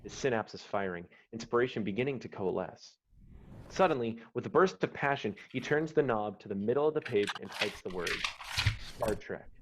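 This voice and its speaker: A man's voice: on the low side, noisy background. A man reading screenplay stage directions as dramatic scripted narration on a lo-fi online recording. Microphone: online call microphone.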